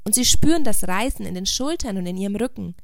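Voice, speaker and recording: A shy, weary spirit of sadness from a storybook, read by a woman, speaks in a plaintive, hesitant way. The voice is brittle and gravelly, recorded dry and close. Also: medium pitch, lively intonation, fast, dry recording. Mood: plaintive, earnest.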